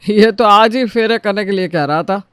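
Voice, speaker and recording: A man's voice: high-pitched, moderate pace, a bit bright, clean tone, dry recording, studio quality. An actor playing a grumbling, teasing middle-aged father of a groom in a family story, wry and complaining.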